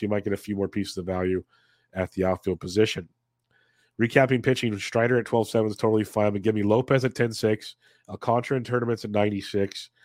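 Congested low-voiced man previewing sports picks. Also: a little mellow, no room sound, clean studio sound. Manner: conversational, answers live chat, many fillers. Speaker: fantasy sports analyst, show host. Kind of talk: casual solo sports betting preview.

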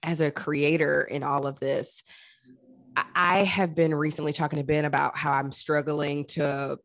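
A woman hosting a casual interview, chatty and expressive, with a self-deprecating way of talking. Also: deep voice, moderate pace, gravelly. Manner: expressive, self-deprecating, acts out quoted thoughts. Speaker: podcast host, actor.

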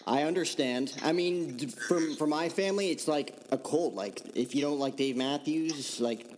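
A man's voice: fairly high pitch, moderate pace, darkish tone, slight background noise. A man hosting a podcast, chatting informally and slangily through questions and anecdotes. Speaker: podcast host, interviewer. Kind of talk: casual chat.

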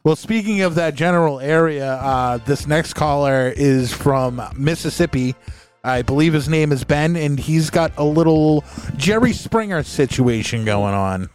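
A man's voice: fairly high pitch, lively intonation, measured pace, clear, resonant tone, soft background music. A man hosting a podcast, introducing listener calls in a laid-back way. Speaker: podcast host reading calls. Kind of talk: casual chat.

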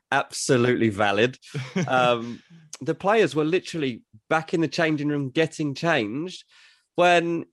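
A man's voice: medium pitch, a bit bright, no echo. A man co-hosting a casual football podcast, with dry, self-deprecating humour and frequent fillers. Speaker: podcast co-host.

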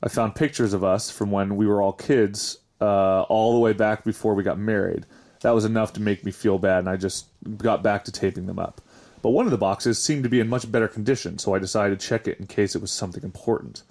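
A man's voice: fairly low pitch, steady tone, a little fast, darkish tone. A man hosting a casual podcast, chatting and reading confessions aloud in an informal way. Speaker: podcast host.

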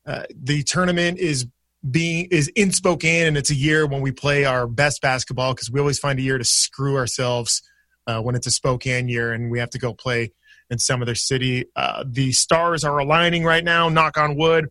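A man's voice: medium pitch, steady tone, moderate pace, sharp tone, dry recording. A man co-hosting a casual sports podcast, chatty and jokey as he steers the segments. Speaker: podcast co-host.

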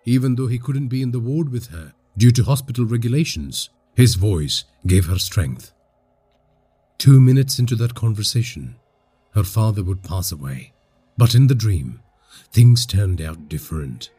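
A man from India narrating a scripted horror story in a husky voice, with dramatic, suspenseful delivery. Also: lowish voice, lively intonation, moderate pace, dark tone.

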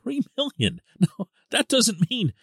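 A man hosting a sports podcast as a columnist, giving solo commentary in an animated, emphatic way. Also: extremely high pitch, takes it slowly, gravelly, breathy edge, dry recording, clean studio sound. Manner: animated and emphatic, stresses key words.

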